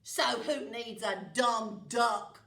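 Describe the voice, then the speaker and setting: A woman voicing a greedy, bossy storybook witch who shouts rude insults. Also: on the high side, drawn-out words, echoey room, exaggerated voice. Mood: grumbling.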